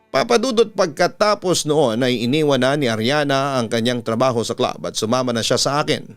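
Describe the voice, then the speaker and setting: A man with a Filipino accent, a radio announcer reading a listener's letter as a dramatic scripted story. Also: medium pitch. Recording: music bed under the voice. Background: instrumental music.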